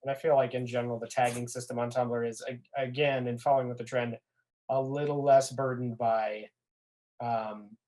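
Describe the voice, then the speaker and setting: A young man with a mid-pitched voice speaks as a guest on a podcast. His delivery is casual and keen, like someone sharing a favorite interest. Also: level tone, moderate pace, darkish tone. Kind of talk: casual chat.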